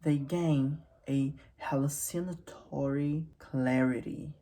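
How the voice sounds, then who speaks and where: A woman's voice: sits low, steady tone, takes it slowly, mellow. A woman hosting a casual, humorous literary commentary, with wry, irreverent asides in a conversational way. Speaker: host of a literary channel.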